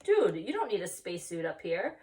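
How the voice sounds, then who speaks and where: A woman's voice: fairly low pitch, very animated, fairly quick, a little mellow, a little hiss or hum. A woman voicing a tiny, chummy male storybook dog with slangy, Spanish-sprinkled speech. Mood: advising. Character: Paquito Tito, tiny chummy male dog, smallest of pack.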